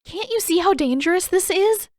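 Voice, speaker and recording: A woman's voice: extremely high pitch, animated, normal speed, a little mellow, dry recording, studio quality, pitched above the performer's own voice. A woman voicing a wary, exasperated teenage girl in an audiobook, intense and demanding. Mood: worried, demanding. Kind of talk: audiobook character voice.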